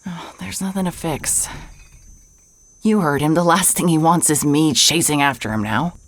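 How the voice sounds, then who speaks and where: An actress playing a stubborn, sarcastic, exasperated woman in a naturalistic audio drama, blunt and quick to swear. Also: low-pitched, no room sound. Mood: defensive, dejected.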